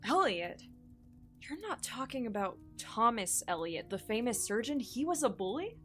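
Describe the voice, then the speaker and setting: A woman's voice: fairly high pitch, very animated, normal speed, fairly bright tone, soft background music. A woman playing a bold, ambitious reporter in an audio drama, speaking directly and persuasively with confidence. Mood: curious, insistent. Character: bold, shrewd, ambitious female entertainment reporter.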